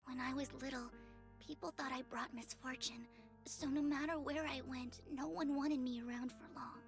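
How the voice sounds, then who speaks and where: An actress playing a gentle, sorrowful young woman who speaks softly and politely in a video game. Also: high voice, fairly even intonation, normal speed, creaky, faint music behind. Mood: sad, confiding. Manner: soft, earnest, formal address.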